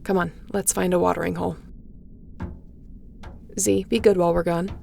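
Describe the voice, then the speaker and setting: A woman's voice: on the low side, level tone, normal speed, creaky, a little mellow, slight background noise. A woman playing a guarded, wry starship captain and ace pilot in an intimate audio roleplay, with a sardonic, conversational way of talking. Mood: calm, explaining. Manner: sardonic, conversational, sighing and teasing.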